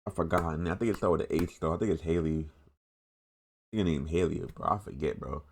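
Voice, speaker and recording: A man's voice: extremely low pitch, brisk, vocal fry, a little hiss or hum. A young man with an American accent giving casual, unscripted reaction commentary in a slangy, repetitive, rambling way. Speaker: reaction video host.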